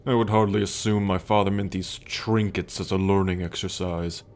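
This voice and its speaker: A man's voice: low-pitched, steady tone, normal speed, darkish tone. An actor playing an arrogant, brooding male sun god in an ASMR roleplay drama, with a scornful, formal, imperious manner. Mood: defensive, scornful. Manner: haughty, scornful, formal, sighing. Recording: background music under the voice.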